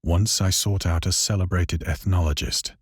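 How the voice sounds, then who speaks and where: A man playing a haunted sailor who recounts his descent into madness in grave, measured, literary horror-game narration. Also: deep voice, fairly even intonation, husky. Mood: grave, resigned. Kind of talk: dramatic first-person horror story narration. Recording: voice under loud music and storm sounds.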